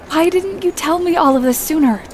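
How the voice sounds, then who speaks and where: A woman acts a proud, emotional young woman in a period radio play. Her voice is high, her speech polite and hesitant, with faint noise behind it. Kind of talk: audio play acting.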